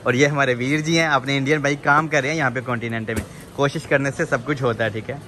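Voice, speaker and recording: A man's voice: mid-range voice, fairly even intonation, quick pace, over music. A man, talking casually to viewers on his phone camera as he walks through a large supermarket, repeating "theek hai" often. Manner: chatty, addresses viewers as "janab", says "theek hai" often. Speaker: vlogger. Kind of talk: casual walk-through vlog. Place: large supermarket in a shopping mall, indoors. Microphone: handheld phone camera. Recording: noisy store background.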